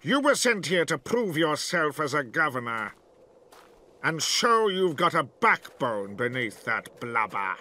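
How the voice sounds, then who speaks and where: A man's voice: fairly high pitch, sing-song, pushed and bright, full character voice. A man voicing a haughty, scolding imperial envoy in a video game, pompous and disdainful. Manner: pompous, deliberate menace.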